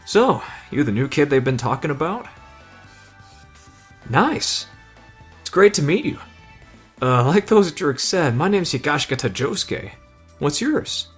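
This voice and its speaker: Man playing a lively, husky, easygoing teenage tough guy. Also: on the high side, heavily acted. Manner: casual, slangy, addresses listener as buddy. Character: easygoing, friendly but tough teenage delinquent hero. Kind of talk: anime fan ASMR roleplay.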